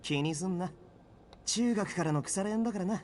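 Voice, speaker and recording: An actor voicing a brash but loyal teenage student in a visual novel, speaking in rough, scolding slang. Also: male voice, fast, darkish tone, a little hiss or hum, lightly acted. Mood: gruff, reassuring. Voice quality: booming. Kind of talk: Japanese visual novel voice acting.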